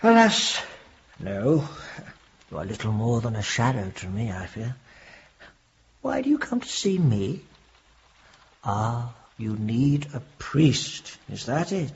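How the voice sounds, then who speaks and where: An actor playing a kindly, talkative elderly clergyman in a radio drama, with a husky voice and courtly, old-fashioned speech. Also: medium pitch, wildly swooping pitch, slow, long pauses, muffled recording, exaggerated voice. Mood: wistful, probing. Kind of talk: theatrical radio drama acting.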